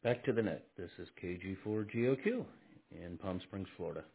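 Man on ham radio, deep raspy voice, tinny band. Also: expressive, fairly quick. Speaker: radio net caller. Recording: husky voice on a narrow radio band.

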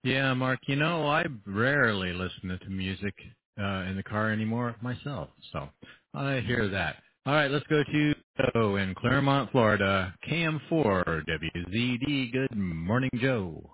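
Male net control host, lowish voice, narrow radio-band sound; brisk and friendly. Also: lively intonation, slightly rough. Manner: brisk, friendly roll-call delivery. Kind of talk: radio net check-in roll call. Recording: phone-like radio audio with faint hiss.

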